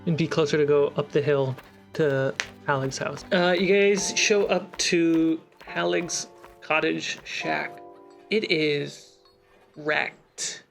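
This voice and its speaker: A game master running a tabletop game, calm and matter-of-fact as they check in with the players. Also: mid-range voice, slow pace, creaky, soft background music.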